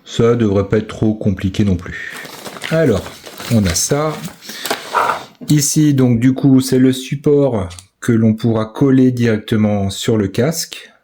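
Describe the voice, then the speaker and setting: A man giving casual product commentary, thinking aloud with hesitant pauses. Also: medium pitch, dark tone, a little hiss or hum. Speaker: amateur video maker reviewing a purchase.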